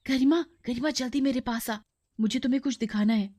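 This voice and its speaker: A woman plays a jealous young girl from a cartoon moral tale. The voice is lifted high and delivered in emotional exclamations, with scheming intensity. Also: a little fast, dark tone. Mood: coaxing. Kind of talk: dramatised cartoon moral story.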